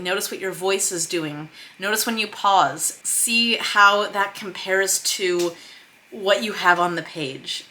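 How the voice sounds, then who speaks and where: A woman's voice: mid-range voice, bright, forceful tone. A woman explaining craft informally in a vlog, thinking aloud in an emphatic, self-deprecating way. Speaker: screenwriter and director teaching script revision. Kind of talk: informal explainer vlog about script revision.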